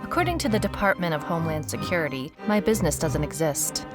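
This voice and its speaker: A world-weary female private eye with a low voice. She narrates in a scripted noir audio drama style, dry and deadpan, with wry understatement throughout. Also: quick pace, over music. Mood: guarded, wry. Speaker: narrator, lead actor.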